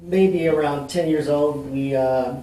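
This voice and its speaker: A man's voice: fairly high pitch, slow, slight background noise. A man from Mexico answering interview questions, talking easily with lots of fillers and restarts. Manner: relaxed, rambling with many fillers and restarts. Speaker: realtor. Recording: roomy, echoey, mic at a distance.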